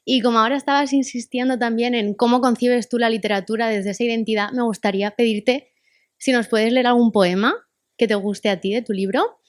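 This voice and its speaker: Lady moderating a talk, highish voice, quiet and off mic, not echoey. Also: steady tone, brisk, clean studio sound. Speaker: event moderator. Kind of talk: appreciative audience remarks at a talk.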